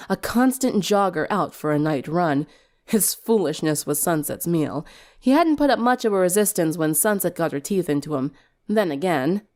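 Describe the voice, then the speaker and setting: A woman narrates a story dramatically as a fan fiction reader. Her voice sits a little low for a woman and moves through a lively, wide range.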